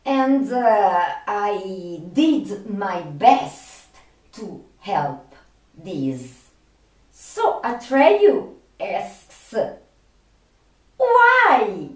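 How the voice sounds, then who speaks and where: Very slow-talking woman. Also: mid-range voice, big pitch swings. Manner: halting, with long pauses and frequent hesitations. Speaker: video host. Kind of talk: informal explanatory commentary, retelling film dialogue. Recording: echoey room, distant microphone.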